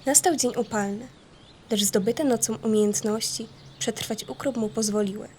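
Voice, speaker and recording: A woman narrating a scripted children's story in a folksy, expressive storytelling manner. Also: on the high side, moderate pace, a little hiss or hum.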